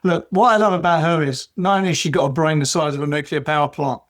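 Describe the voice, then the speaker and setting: A man's voice: fairly high pitch, steady tone, fast talker. A man co-hosting a podcast in casual conversation, blunt and colloquial, often checking his points with short tag questions. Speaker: podcast co-host.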